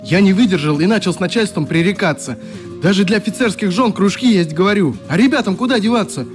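An actor playing a friendly young youth leader in a radio drama, telling stories in a chatty, expressive way with a wistful streak. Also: male voice, sits high, quick pace, faint music behind. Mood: animated reminiscing. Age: young adult. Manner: chatty, expressive, acts out remembered exchanges. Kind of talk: radio drama, reminiscing dialogue.

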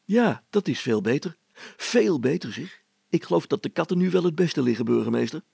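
Man voicing a hesitant, husky, deferential accomplice. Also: a little high, expressive, normal speed, darkish tone, no echo, exaggerated voice. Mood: polite, approving. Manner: hesitant, polite, mildly objecting.

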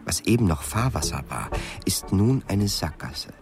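A man's voice: low voice, animated, a little slow. A man narrating a radio play in scripted, dramatic literary prose.

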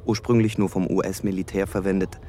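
An actor as a dry, factual explainer of military terms in a documentary-like radio drama. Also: male voice, low-pitched, flat delivery, fairly quick, dark tone, a little hiss or hum, lightly acted. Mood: detached. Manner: detached, informative.

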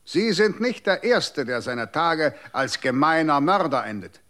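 A man's voice: fairly high pitch, lively intonation, a little slow, fairly bright tone. An actor playing a stern, formal male official who issues warnings in a radio drama.

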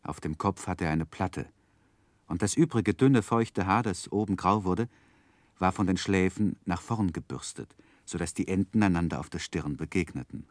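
A man's voice: sits low, normal speed, no echo, lightly acted. An actor playing a grown man recalling his boyhood, narrating a 1960s German radio drama in a literary, reflective manner. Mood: descriptive.

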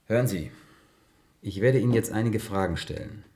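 A male actor playing a persistent, calmly authoritative biographer and interrogator in a radio play. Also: on the low side, moderate pace, close to an everyday voice. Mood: calm, probing. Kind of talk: German science-fiction radio play acting.

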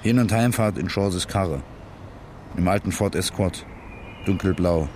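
A man's voice: low voice, unhurried, darkish tone, slight background noise. An actor playing a weary, bitter working-class man who narrates his dead-end life in terse, slangy fragments in a radio drama. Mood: weary, sardonic. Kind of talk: radio drama interior monologue.

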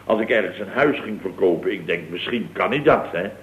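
A man's voice: low-pitched, animated, a little fast. A man acting an exasperated, sarcastic company boss in an old radio comedy, grumbling emphatically. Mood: scornful. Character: blustering, exasperated, sarcastic male boss of a building firm. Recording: dull old radio recording.

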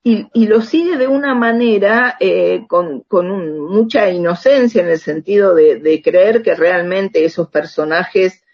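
A woman's voice: on the low side, unhurried, few pauses, lo-fi sound. An Argentine woman speaking reflectively as an interviewed author and researcher, often checking in with short rhetorical tags.

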